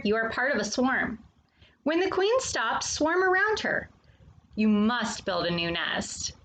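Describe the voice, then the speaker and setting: Female storytime host, higher-pitched and animated, speaking straight to young kids, some background noise. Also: poor audio. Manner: addresses young listeners directly, with questions and pauses. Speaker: host of a children's storytime, reading a picture book aloud. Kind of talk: read-aloud children's nonfiction story with brief asides.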